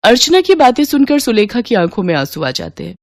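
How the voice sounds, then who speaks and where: A woman's voice: on the high side, big pitch swings, a little fast, a little mellow, dry recording. A woman narrating a scripted moral story as the storyteller.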